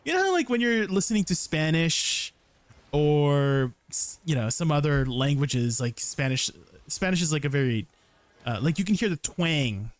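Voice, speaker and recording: A man's voice: fairly high pitch, big pitch swings, moderate pace, dry recording. A young man casually commenting on his gameplay during a livestream, explaining combos and answering viewers, often ending sentences with "right?". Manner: chatty, answers viewers' questions. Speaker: game streamer.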